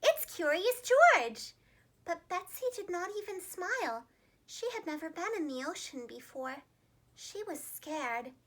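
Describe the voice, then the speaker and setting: Female children's storyteller, preschool-teacher manner, extremely high register, lively intonation, clearly enunciated. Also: a little slow. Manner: animated, expressive, carefully enunciated.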